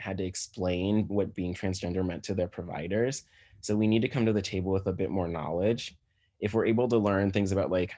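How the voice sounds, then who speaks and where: Male physician presenter, American, low register, conversational explanatory lecture. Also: a little mellow.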